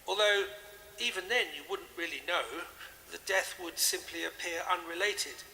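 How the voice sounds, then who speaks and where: Bright, chummy English vicar. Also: male voice, high voice, moderate pace, vocal fry, a little hiss or hum, full character voice, rough recording. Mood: musing, sceptical. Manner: genteel, chummy, dismissive of superstition. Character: genial, chatty, self-consciously hip English country vicar, man. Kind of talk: audio drama acting.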